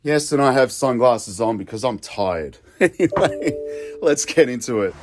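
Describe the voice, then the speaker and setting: An Australian man hosting a casual investing vlog, chatty and excitable, tired and losing his voice. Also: medium pitch, a little slow, music in the background. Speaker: crypto YouTube host.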